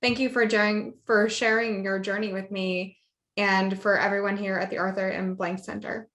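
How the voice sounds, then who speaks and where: Woman hosting, thanking folks warmly, echoey room. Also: mid-range voice, flat delivery, normal speed. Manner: warm and appreciative. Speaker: session host, speech clinician. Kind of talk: introducing speakers.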